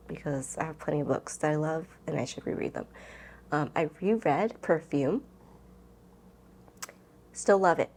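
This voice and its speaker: A woman's voice: low-pitched, darkish tone. A woman chatting casually as a book vlogger, rambling and interrupting herself in a giggly, informal way. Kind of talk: casual chat to camera, book tag.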